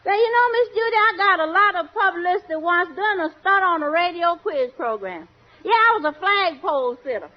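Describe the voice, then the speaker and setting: An actress playing a jolly, exuberant housemaid with whooping laughter in a 1940s radio comedy. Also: female voice, very high-pitched, lively intonation, a little fast. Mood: amused. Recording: dull vintage radio recording, studio audience.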